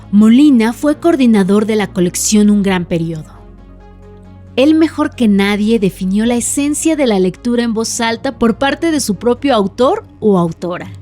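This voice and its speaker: A woman hosting a podcast, delivering scripted documentary narration in a clear, even way. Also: fairly high pitch. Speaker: podcast host.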